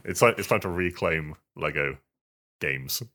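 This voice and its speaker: A man with a low-pitched voice co-hosts a games podcast. He talks casually and a little tentatively, keeping things mild and conversational. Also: unhurried, bright, forceful tone. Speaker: podcast host. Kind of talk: casual chat about video games.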